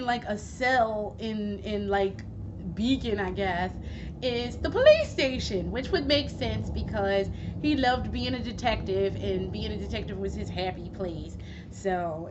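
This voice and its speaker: A woman vlogging at home, hoarse from losing her voice, rambling casually with plenty of swearing and fillers. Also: mid-range voice. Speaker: gaming vlogger. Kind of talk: casual chat. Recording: dull lo-fi recording with steady background noise.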